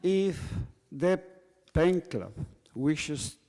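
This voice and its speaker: Mellow-voiced man with dry humor. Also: fairly high pitch, animated. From Spain. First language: Basque. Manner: measured, dryly humorous. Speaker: panel author. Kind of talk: prepared panel remarks. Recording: reverberant hall.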